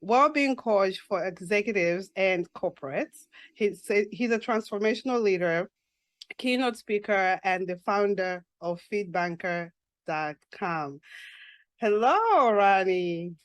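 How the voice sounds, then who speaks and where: A woman from Zambia hosting a casual podcast interview, excitable and quick to laugh. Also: medium pitch, no echo. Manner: warm, excitable, laughs often. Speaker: podcast host. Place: New York.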